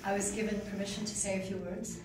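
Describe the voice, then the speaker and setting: A woman's voice: mid-range voice, steady tone, quick pace. A woman giving an award acceptance speech at a podium in an ornate reception room, recorded from far off. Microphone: podium mic, recorded from far off. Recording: echoey room, far from camera, noisy.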